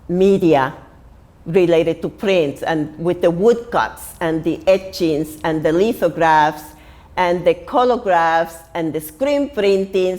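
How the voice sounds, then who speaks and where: A woman in her sixties from Puerto Rico, with a Puerto Rican accent, telling her life story as an artist and printmaking teacher. She speaks in an unhurried way, with many pauses and restarts. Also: fairly low pitch, darkish tone. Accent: Puerto Rican Spanish. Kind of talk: personal life story told to camera.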